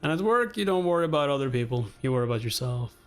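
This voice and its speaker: A man's voice: expressive, very fast, faint music behind. A man reading webtoon dialogue and captions aloud, acting out the lines dramatically as he goes. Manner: acts out each line, shifting pitch between characters. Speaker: reaction channel host reading a webtoon aloud.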